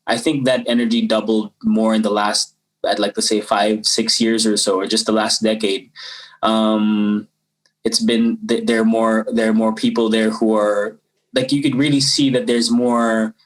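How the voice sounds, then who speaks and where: A man's voice: fairly low pitch, level tone, normal speed, distant mic, rough recording. A man in his twenties from the Philippines, a rapper talking as an interview guest, rambling with many fillers and restarts. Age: 20s. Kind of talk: casual interview.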